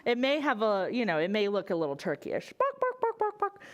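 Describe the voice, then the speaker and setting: A woman hosting a story time for children at a library, talking in an expressive, exclamatory way straight to her young audience. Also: mid-range voice, brisk, muffled recording. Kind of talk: enthusiastic presentation to children.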